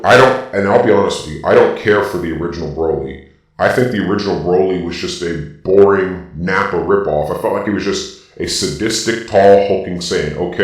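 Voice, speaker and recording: Man doing a low echoing robotic villain. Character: Darth Vader-like robotic villain explaining things. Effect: robot voice filter. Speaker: video host ranking anime transformations. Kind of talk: opinionated casual commentary. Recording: very echoey, distant from the mic.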